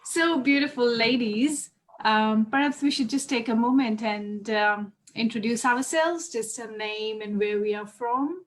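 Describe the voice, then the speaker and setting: A woman's voice: a little high, a little slow. A woman hosting a casual spiritual chat on a live video call from home, effusive and laughing often. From Australia. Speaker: host.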